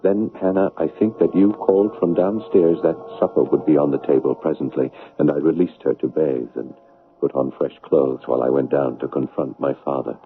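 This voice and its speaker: A man playing an earnest, self-righteous fiancé and son in a 1970s radio drama, measured, insistent and solemn. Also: extremely low pitch. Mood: solemn, confessional. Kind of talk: 1970s radio drama acting and confessional narration. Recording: muffled, phone-like old radio broadcast.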